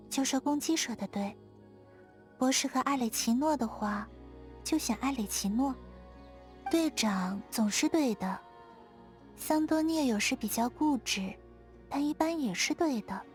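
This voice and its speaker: A dreamy young moon maiden from a video game, pitched fairly high. She is quiet and aloof, talking gently and without hurry, with music playing behind her. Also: measured pace, pauses often. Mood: calm, detached. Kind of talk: scripted video game character dialogue. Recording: studio voice over background music.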